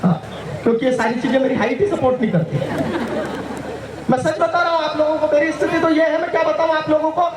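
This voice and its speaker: A man's voice: very high voice, fast talker. A man from Madhya Pradesh performing comic poetry on stage, telling jokes with playful punchlines. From Madhya Pradesh, India. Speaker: stage poet. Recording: echoey live PA sound from far off, crowd noise.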